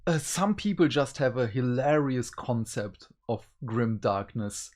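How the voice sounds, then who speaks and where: A man gives his thoughts in a casual review chat as a co-host. His delivery is slow and takes its time, at an average male pitch. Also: animated, a little mellow.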